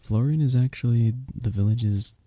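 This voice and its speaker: Man voicing a very dark-toned gentle medieval innkeeper. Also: medium pitch, no echo, close to an everyday voice. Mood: flattered, fond. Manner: formal old-world phrasing, soft-spoken, measured. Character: gentle, flattered medieval village innkeeper man, fond and earnest. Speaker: audio roleplay performer. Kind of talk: ASMR roleplay, intimate one-sided conversation.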